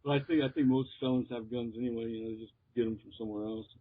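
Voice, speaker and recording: An American man, heard through a thin, phone-like connection, talks in a casual interview. He speaks in a halting, hesitant way, feeling his way through each answer. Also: mid-range voice, fairly even intonation. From Texas, United States. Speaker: interviewed metal musician. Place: remote call. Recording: muffled, tinny phone line.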